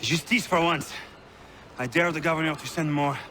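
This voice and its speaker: An actor playing a feisty, defiant, hot-blooded brawler with a boastful, colorful way of talking in a video game. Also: male voice, on the high side, normal speed, bright, forceful tone, slight background noise. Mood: cocky, jovial.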